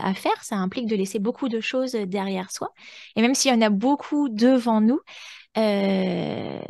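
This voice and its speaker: A woman's voice: medium pitch, darkish tone, no echo, studio quality. A woman hosting a conversational interview, speaking informally and feeling her way reflectively through her sentences. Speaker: podcast host, interviewer.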